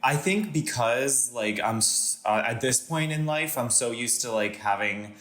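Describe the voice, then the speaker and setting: A man's voice: mid-range voice, animated, a little slow, a bit bright, roomy sound. A young man from Panama with an American, Californian accent, speaking as a guest in a casual conversation, hesitant with many fillers and restarts.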